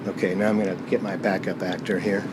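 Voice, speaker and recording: A man's voice: low-pitched, little pitch movement, quick pace, heavy background noise. A man presenting his own performance, chatting informally and easily to viewers. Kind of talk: casual chat to viewers.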